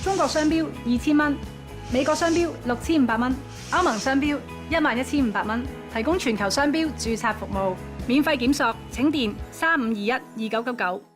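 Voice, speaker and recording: A woman's voice: high voice, fairly quick. A woman reading a scripted commercial voice-over as an announcer. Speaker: advert announcer. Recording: music bed behind the voice.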